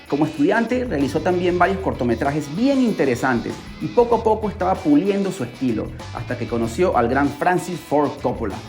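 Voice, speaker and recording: An excitable podcast host delivers scripted storytelling with a lively, swinging intonation. The delivery is dramatic, full of rhetorical questions and exclamations. Soft music plays underneath the voice. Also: medium pitch. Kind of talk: scripted storytelling narration for a pop culture podcast.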